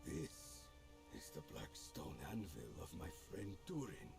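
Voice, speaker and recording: A man voicing a grave, determined warrior in a fantasy game, speaking in a hushed, solemn, measured way. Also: low voice, wildly swooping pitch, gravelly, over music, voice pushed down, heavily acted. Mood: stern. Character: grave, determined male warrior urging a companion onward.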